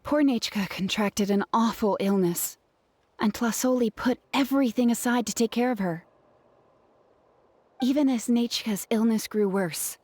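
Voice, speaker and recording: A woman playing an earnest, grateful gem artisan in a video game, telling things in a soft-hearted way. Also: on the high side, no echo.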